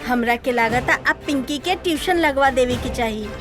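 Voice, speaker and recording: A woman playing a caring, practical mother in an animated cartoon, coaxing and matter-of-fact, with a Bhojpuri accent. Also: high voice, moderate pace, music in the background, natural voice. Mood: concerned.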